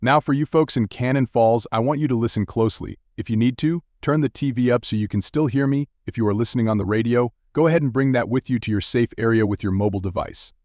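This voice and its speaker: A man playing a TV meteorologist who guides viewers through severe storms with steady, urgent, direct instructions in a live broadcast style. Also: medium pitch, fast talker, few pauses, dry recording, studio quality, natural voice. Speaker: TV weather broadcaster.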